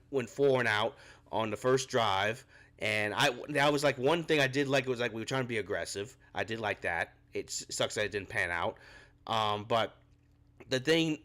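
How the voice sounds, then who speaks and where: A man's voice: mid-range voice, a bit bright. A young man with a cold hosts a postgame reaction show, his voice a bit scratchy. He rants in frustration and his voice climbs when he gets worked up. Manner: frustrated ranting, rising when angry. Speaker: podcast host.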